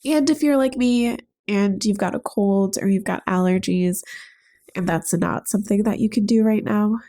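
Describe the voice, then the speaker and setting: Young woman, podcast host with a stuffed-up head cold, mellow voice, earnest and gentle. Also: mid-range voice, normal speed. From United States. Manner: earnest, gentle, thinking aloud. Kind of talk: reflective solo podcast talk.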